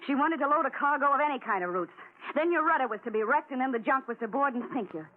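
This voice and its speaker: High-pitched, urgent melodramatic woman. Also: animated, fast talker. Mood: confessing. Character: pleading, scheming young woman in an adventure serial. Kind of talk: 1940s radio drama acting. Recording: tinny, band-limited 1940s radio recording.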